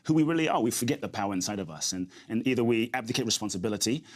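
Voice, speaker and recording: Fast-talking earnest man. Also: on the low side, expressive, darkish tone. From grew up in London. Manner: earnest and reflective, repeats key phrases. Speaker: guest, inspirational speaker. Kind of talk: interview conversation.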